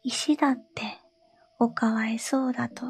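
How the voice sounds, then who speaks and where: A woman voicing a polite, gentle frog from a fairy tale in a soft whisper. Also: on the high side, fairly even intonation, unhurried, vocal fry, slightly rough, heavily acted. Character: slimy bloated frog in a spring, polite and gentle.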